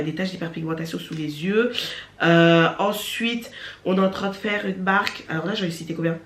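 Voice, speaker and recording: A young woman talks to her camera at home, chatty and animated, her nose stuffy from a cold. She stands some way from the mic in an echoey room, her voice sitting at a middling pitch. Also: far from the mic. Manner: chatty and animated, laughing often. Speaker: vlogger and beauty entrepreneur. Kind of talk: casual vlog talking to camera.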